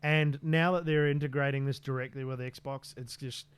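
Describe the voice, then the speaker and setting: Smooth-voiced man chatting about tech. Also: medium pitch, fairly even intonation, normal speed, no echo. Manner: easygoing, lots of fillers and restarts. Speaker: podcast co-host. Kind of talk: casual tech chat.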